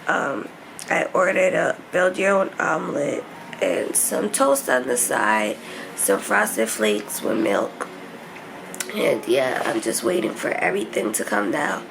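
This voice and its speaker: A woman's voice: low voice, expressive, slow, creaky, noisy background. A young woman vlogging casually and candidly from a hospital room, laughing often, tired and stuffy-nosed.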